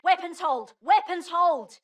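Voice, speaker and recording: A woman's voice: extremely high pitch, expressive, measured pace, soft background music. A woman voicing an alert combat squadmate in a video game, calling out in a terse, commanding way.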